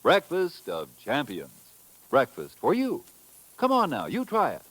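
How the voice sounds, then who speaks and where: Folksy, wildly animated radio pitchman. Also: unhurried, poor audio. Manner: emphatic, folksy. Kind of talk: 1950s radio commercial, folksy hard sell.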